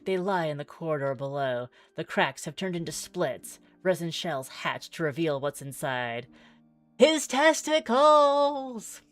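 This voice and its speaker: A woman's voice: low-pitched, very animated, unhurried, soft background music. A woman streaming a visual novel, reading the text aloud theatrically with wry, exclaiming asides.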